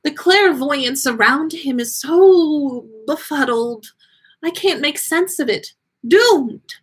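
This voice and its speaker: A woman's voice: sits high, animated, slow, pitched above the performer's own voice. A woman voicing a dramatic, anxious eccentric seer in an overwrought, theatrical way for a story reading. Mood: despairing.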